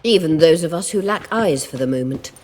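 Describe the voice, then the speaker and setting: An actress playing a brisk, wry sorceress in a fantasy game, crisp and authoritative. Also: female voice, sits low, animated, a little mellow, long reverb tail. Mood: wry, urging.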